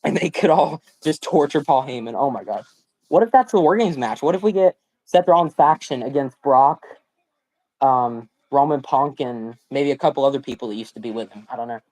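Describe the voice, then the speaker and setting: A young man hosting a live watch party stream, talking excitedly with lots of slang and exclamations, his voice hoarse and cracking from a sore throat. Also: mid-range voice, fairly quick, low-quality recording. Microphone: phone.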